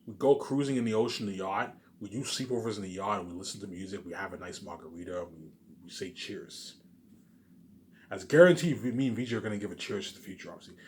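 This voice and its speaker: A man's voice: lowish voice, quick pace, darkish tone, a little hiss or hum. A man giving a rambling, unscripted vlog monologue, emphatic and repetitive, circling back over his phrases and swearing at times. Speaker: vlogger.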